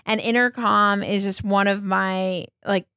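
A woman's voice: medium pitch, steady tone, a little slow, pushed and bright, clean tone, no echo, studio quality. A woman hosting a casual interview, speaking in an informal and enthusiastic manner. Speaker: podcast host, interviewer.

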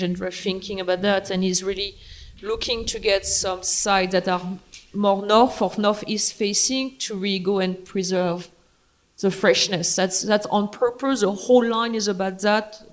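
A woman with a French accent moderating a live panel discussion. She talks in a chatty, halting way, with frequent fillers and laughs. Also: mid-range voice. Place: event hall.

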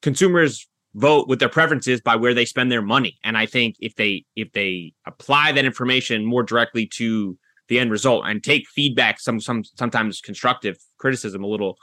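A man hosts an interview show in a conversational way. His voice is mid-range and notably bright, with a crisp edge of energy. It is recorded dry and close. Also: mid-range voice, fairly quick, dry recording. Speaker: podcast host.

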